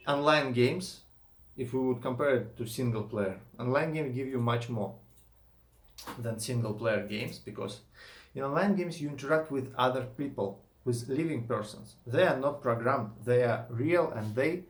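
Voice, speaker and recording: A man's voice: medium pitch, unhurried. A man with a Russian accent, a native Russian speaker, talking casually to viewers as a gamer and searching for his words. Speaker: gamer and YouTuber. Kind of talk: casual vlog monologue. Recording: echoey room.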